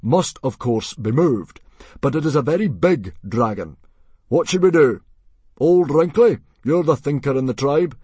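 A man playing a blustering Viking chief in a storybook, booming, pompous and emphatic. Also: animated, unhurried, warm tone, husky, no room sound. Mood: alarmed, commanding.

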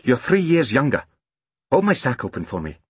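A man voicing an eager, bossy young girl in a children's storybook, earnest and brisk like a big sister. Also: a little high, sing-song, husky, dry recording, pitched above the performer's own voice, full character voice. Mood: sharp. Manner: earnest, brisk, corrects others.

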